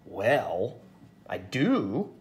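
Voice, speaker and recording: A man's voice: mid-range voice, big pitch swings, extremely slow, echoey room, a little hiss or hum. A man voicing an eager, stubborn young schoolgirl while reading a picture book aloud.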